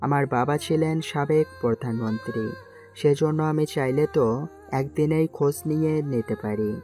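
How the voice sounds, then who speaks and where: Woman playing a very deep-voiced young prime minister. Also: normal speed, warm tone, close to an everyday voice. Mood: earnest. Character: young man, disguised prime minister, earnest lover. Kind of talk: amateur audio drama acting. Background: faint background music.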